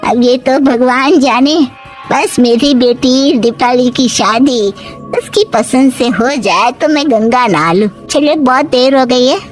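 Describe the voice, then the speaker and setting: A woman voicing a fretful, suspicious middle-aged mother-in-law in an animated moral story, with a grumbling, dramatic manner. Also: high voice, a little slow, faint music behind. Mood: confiding.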